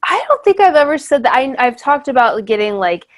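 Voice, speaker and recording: A woman's voice: sits high, very animated, a little fast. Young woman podcast host, talks loose w/ lots of likes and ums, laughs a lot. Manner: chatty, many fillers and restarts, laughs easily. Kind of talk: casual interview chat.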